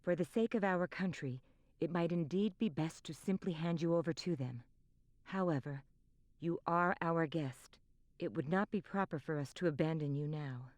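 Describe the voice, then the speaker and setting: A stately video game queen acted by a woman, with a lowish voice recorded dry and close. She is formal and measured, speaking with quiet command. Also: no echo, close to an everyday voice. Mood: measured, grave. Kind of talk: scripted video game voice acting.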